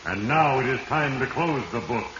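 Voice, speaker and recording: A man's voice: mid-range voice, lively intonation, moderate pace, close to an everyday voice. A muffled, noisy 1940s radio recording carries the echoing voice of Fate, played by a man. He is a grave, all-knowing narrator who pronounces judgement with solemn, ominous deliberation. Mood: solemn, moralizing.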